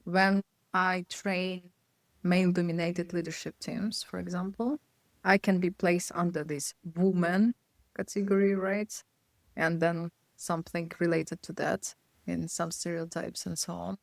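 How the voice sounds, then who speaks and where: A woman from Poland, a guest consultant, answering interview questions in a thoughtful, measured way, often checking in with "right?". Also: fairly low pitch, flat delivery, gravelly, no room sound. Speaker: guest, psychological safety consultant.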